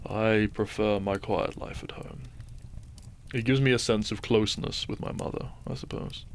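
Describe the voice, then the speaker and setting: Casting: male actor for a gentle farm-raised doctor in an audio romance; soft-spoken, measured, low with some fry. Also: a little hiss or hum. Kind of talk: romantic audio roleplay addressed to the listener.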